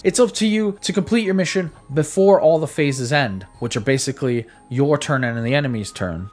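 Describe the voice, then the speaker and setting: A man giving a casual, opinionated game review in a conversational way, repeating words for emphasis. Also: medium pitch. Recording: background music under voice. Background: music.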